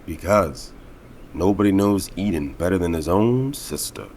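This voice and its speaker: An actor playing a seedy, unhurried caravan-dwelling go-between in an audio drama, drawing his words out. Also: deep voice, lively intonation, darkish tone, slight background noise. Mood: persuading. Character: slow-talking male go-between living in a grubby caravan.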